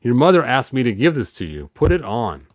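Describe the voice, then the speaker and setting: A man's voice: medium pitch, big pitch swings, a little fast, no room sound. A man voicing a sly, deceitful skull-faced sorcerer in a storybook, with a taunting, dramatic manner. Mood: taunting, tempting.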